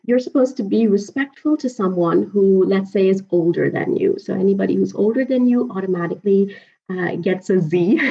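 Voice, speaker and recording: A woman in her forties, a native Urdu speaker, talks in an easy, animated way and laughs often between her stories. Her voice sits at a middle pitch. The recording is a little dull and muffled. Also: creaky. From Pakistan. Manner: lively storyteller, frequent laughs and fillers. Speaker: interview guest. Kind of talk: conversational interview. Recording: slightly dull lo-fi audio.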